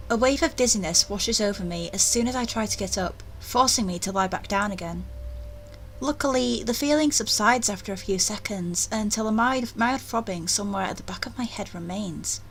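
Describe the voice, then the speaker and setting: A woman reading a visual novel aloud as its player, with expressive narration and casual asides. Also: medium pitch, a little hiss or hum, rough recording.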